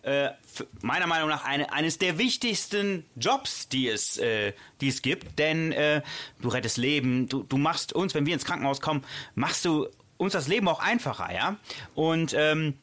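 A man's voice: a little high, animated, moderate pace, fairly bright tone. A man co-hosting a casual talk show, chatty and enthusiastic, asking long rambling questions. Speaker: co-host.

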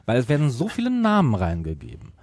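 A man's voice: medium pitch, huge pitch swings, fast, darkish tone, no echo, studio quality. A man co-hosting a podcast, talking casually about audio dramas. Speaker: podcast co-host.

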